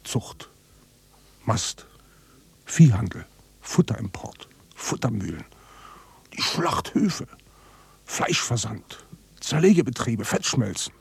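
An actor playing a bitter, evasive contract calf fattener in a radio drama, husky and blunt, with a sardonic, coarse way of talking. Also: male voice, on the low side, very animated, takes it slowly, pauses often, no room sound, whispered, heavily acted. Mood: bitter, indignant. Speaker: contract livestock farmer. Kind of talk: naturalistic radio drama dialogue.

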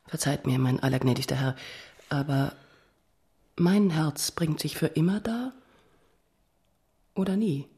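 A woman playing a proud, guarded noblewoman in a radio drama, speaking in a formal, measured way. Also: deep voice, warm tone.

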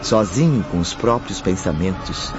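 A male narrator tells a radio drama slowly and solemnly. His voice sits at a middle pitch over clear background music. The recording sounds dull. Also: expressive. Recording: dull audio with music underneath.

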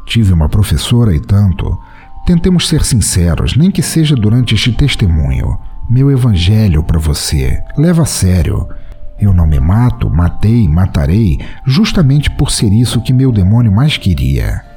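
A man reads a dark first-person tale with a brooding, bitter intimacy. His low, mellow voice moves expressively, with soft music beneath. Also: sing-song, normal speed. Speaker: podcast narrator. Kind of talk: dramatic first-person story narration.

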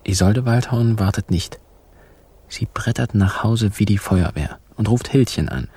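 An actor playing a sly, laconic narrator in a radio crime play, telling the story with wry, clipped phrasing. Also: male voice, lowish voice, lively intonation, a little slow, warm tone, husky. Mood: dry. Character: wry, sly male narrator telling his own swindle.

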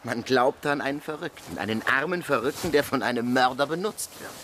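A man playing a gruff, sceptical police investigator in a radio crime play, with a husky voice and a dry, blunt manner. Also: medium pitch, fairly quick, bright, forceful tone, a little hiss or hum, natural voice. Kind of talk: naturalistic radio drama dialogue.

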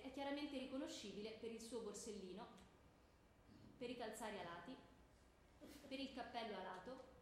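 An actress playing a strict, brisk teacher who quizzes her pupils and explains Greek myths in comic theatre dialogue. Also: on the high side, close to an everyday voice. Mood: didactic. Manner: schoolteacherly, brisk, questioning and grading. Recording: very echoey theatre room, faint noise.

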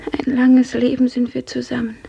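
A woman playing a dreamy, grief-numbed young serf in a 1950s radio drama, hushed, breathy and mournful. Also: fairly high pitch, completely flat delivery, normal speed, dull audio, full character voice. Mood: dreamy devotion. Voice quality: breathy, half-whispered.

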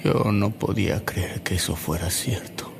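Gravelly, slow-spoken elderly gentleman. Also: low-pitched, fairly even intonation, noisy background. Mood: reverent reminiscing. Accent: Mexican. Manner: courteous, reverent, unhurried storytelling. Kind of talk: Spanish-language radio drama acting.

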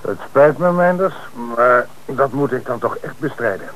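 An actor playing an authoritative police commissioner in an old radio play. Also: male voice, medium pitch, lively intonation, moderate pace, fairly bright tone, dull audio, slight background noise, natural voice. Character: middle-aged male police commissioner in charge.

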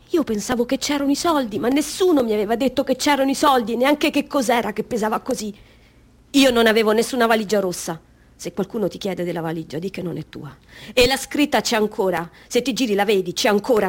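A woman's voice: a little high, close to an everyday voice. An actress playing a naive, dazed young Chechen woman in a radio drama monologue, speaking in plain, urgent fragments.

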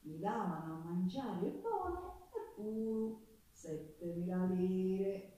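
A woman's voice: medium pitch, lively intonation, mellow. Poor Sicilian working woman: stage monologue, earnest and colloquial, passionate, recorded echoey and distant. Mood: matter-of-fact. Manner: earnest, colloquial storytelling with dialect words and pauses. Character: poor Sicilian working woman recounting her hard life, passionate, plain-spoken. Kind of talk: theatrical monologue.

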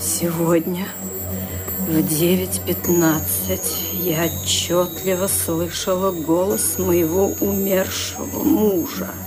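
Slow, solemn elderly aunt. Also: female voice, lowish voice, little pitch movement, over music. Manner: deliberate, commanding, solemn. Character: elderly widowed aunt, grave, imperious and superstitious. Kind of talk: audio drama acting.

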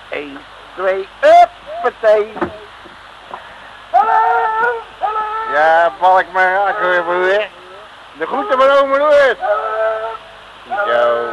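An actor playing a cheeky, cocky detective in an old Dutch radio serial, bantering in a brash, folksy way. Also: male voice, high voice, huge pitch swings, takes it slowly, long pauses, heavy background noise, heavily acted. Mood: jovial, bustling. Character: cheeky, cocky male detective on the run at sea. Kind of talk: 1950s-60s Dutch radio serial acting.

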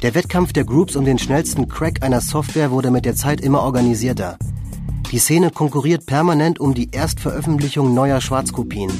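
A man's voice: medium pitch, normal speed, close to an everyday voice. A man acting as a factual narrator, reading scripted documentary narration for a radio play. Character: factual male narrator defining scene terms. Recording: music bed behind narration.

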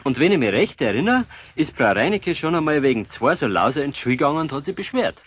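Husky good-humoured Bavarian man. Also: fairly high pitch, big pitch swings, fast, a bit bright, exaggerated voice. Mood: amused. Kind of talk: children's audio drama acting.

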